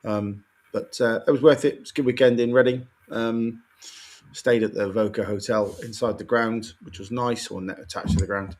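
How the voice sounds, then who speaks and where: A tired man with a croaky voice, co-hosting a casual football chat and ranting with exasperation. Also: mid-range voice, steady tone, moderate pace, a little mellow. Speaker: podcast co-host.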